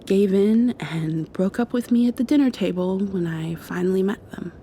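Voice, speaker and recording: Woman acting a soft, creaky, shy young girlfriend. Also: mid-range voice, a little hiss or hum, close to an everyday voice. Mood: wistful, hurt. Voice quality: soft, breathy, often dropping to a whisper. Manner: hesitant, halting, confiding. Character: shy, tender young mosquito girl confessing past heartbreak to girlfriend. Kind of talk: intimate ASMR roleplay.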